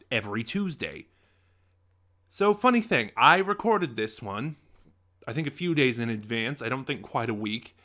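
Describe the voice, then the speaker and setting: Man, bright tense voice, chatty review host, unscripted, animated asides. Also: medium pitch. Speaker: podcast reviewer.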